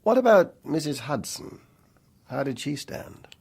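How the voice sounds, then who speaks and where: A husky, urbane, probing confidant. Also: male voice, fairly high pitch, very animated, a little mellow, heavily acted. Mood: shrewd. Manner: measured, probing, dryly ironic. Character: worldly older confidant, shrewd, urbane, philosophical. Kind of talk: radio drama acting.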